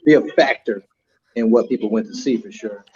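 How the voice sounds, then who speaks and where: A man's voice: mid-range voice, fairly quick, mellow, husky. A man chatting casually as co-host of an online panel show. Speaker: podcast co-host. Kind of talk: casual chat. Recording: dull lo-fi online call audio.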